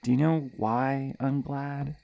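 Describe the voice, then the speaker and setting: A woman's voice: a bass voice, steady tone, slow pace, creaky, dry recording, highs cut off, full character voice. A woman playing a sadistic, playful serial killer in an ASMR horror roleplay, teasing and menacing, with a hesitant stammer and drops into whispers. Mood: taunting calm.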